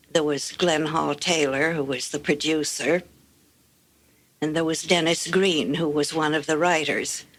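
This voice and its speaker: A woman's voice: low-pitched, slight background noise, poor audio. An older woman, a guest speaker, giving a prepared personal reminiscence.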